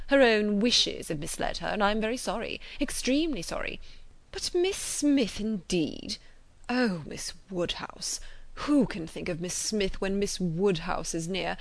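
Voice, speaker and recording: A woman voicing an ardent, self-important gentleman suitor in a classic novel, effusive, insinuating and pompous. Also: mid-range voice, gravelly. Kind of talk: dramatised classic novel reading.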